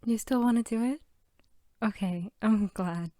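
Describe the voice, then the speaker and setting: A woman playing a sweet, bookish, playful archivist girlfriend in an intimate ASMR roleplay, soft-spoken and teasing. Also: a little high, unhurried, no echo, studio quality, close to an everyday voice. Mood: cozy, affectionate. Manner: soft-spoken, often near whisper, giggly, teasing.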